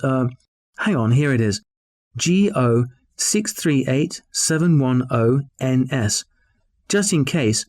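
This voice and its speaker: A polite caller spelling slowly. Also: male voice, mid-range voice, darkish tone. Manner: spells out names and numbers slowly and carefully. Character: polite man leaving a phone message. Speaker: caller leaving a voicemail. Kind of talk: scripted listening-test dialogue.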